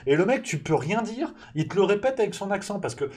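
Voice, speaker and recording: A man's voice: sits high, very animated, a little fast, darkish tone, slightly rough. Rambling, ranting talk-show man. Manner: ranting, swearing, mock outrage; rambling, self-correcting. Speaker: host. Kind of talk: casual livestream chat.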